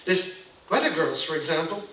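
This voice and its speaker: A man's voice: a little high, sing-song, normal speed, bright, forceful tone, distant mic. A man with a Belgian accent gives a gallery lecture, speaking emphatically and checking in with the audience. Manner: emphatic, keeps asking "yeah?" to the audience. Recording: echoey room, voice off-mic.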